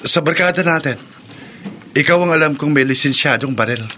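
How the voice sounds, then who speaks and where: A man's voice: a little high, normal speed, sharp tone, slight background noise. A man acting an earnest young friend in a radio drama. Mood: earnest, concerned. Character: earnest young man seeking help for danger.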